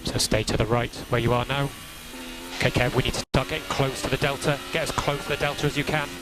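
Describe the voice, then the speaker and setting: A man working as a race engineer, giving calm, procedural briefings over team radio and repeating key instructions in a measured way. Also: mid-range voice, music in the background. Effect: team radio transmission. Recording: crackly noisy motor racing team radio.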